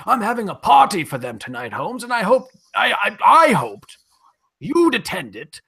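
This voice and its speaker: Man putting on a raspy, high-pitched, earnest lord. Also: wildly swooping pitch, moderate pace, a bit bright, heavily acted. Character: well-off, earnest, troubled English lord, old friend. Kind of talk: old-time radio drama spoof.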